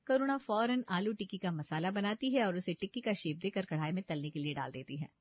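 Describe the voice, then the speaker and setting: A woman's voice: mid-range voice, fairly quick, no room sound, highs cut off. A woman narrating a scripted family moral tale in a storybook style.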